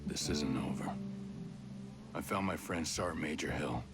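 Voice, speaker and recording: An actor playing a stoic, determined special forces soldier in a video game, speaking in a terse, understated way. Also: male voice, very deep voice, a little slow. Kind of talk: video game cutscene acting. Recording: music playing behind.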